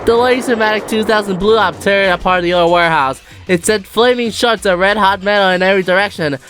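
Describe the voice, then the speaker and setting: A woman reading a children's book aloud with lively, expressive storytelling. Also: mid-range voice, a little fast, faint music behind. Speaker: storybook reader.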